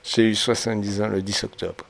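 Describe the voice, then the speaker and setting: A man's voice: lowish voice, fairly even intonation, a little fast, vocal fry, a bit bright, slight background noise. A man in his seventies from Martinique, a photographer answering interview questions with many hesitations and restarts. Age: 70s. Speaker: photographer guest.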